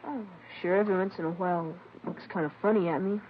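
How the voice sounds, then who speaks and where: A woman's voice: fairly low pitch, steady tone, fast, background noise, natural voice. A woman playing a runaway boy passing himself off as another child, chatty and evasive, in a 1950s radio drama. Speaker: boy impostor. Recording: dull vintage radio recording.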